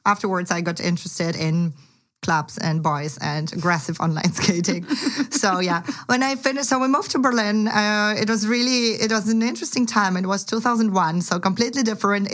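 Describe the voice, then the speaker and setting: A woman's voice: mid-range voice, a bit bright. A woman from Ukraine with a Ukrainian accent, co-hosting a casual interview chat about investing and often restarting her sentences. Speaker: podcast co-host, angel investor.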